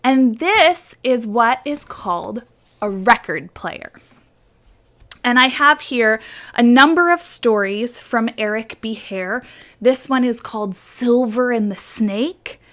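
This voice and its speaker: A woman talks to children in a fairly high voice, taking her time. She explains things simply, step by step, in show-and-tell style. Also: lively intonation, slow pace, fairly bright tone. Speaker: children's story presenter at church.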